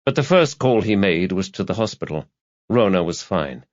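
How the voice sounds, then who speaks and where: A man's voice: sits low, sing-song, moderate pace, dry recording. A man narrating a crime thriller audiobook in a scripted, dramatic storytelling style. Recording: slightly dull, lo-fi audio.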